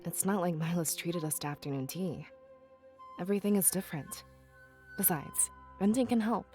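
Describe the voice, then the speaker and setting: A woman's voice: on the low side, animated, normal speed, warm tone, soft background music, natural voice. A woman voicing a candid, inquisitive female adventurer with dry wit in a fantasy visual novel, direct and probing. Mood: wry, probing.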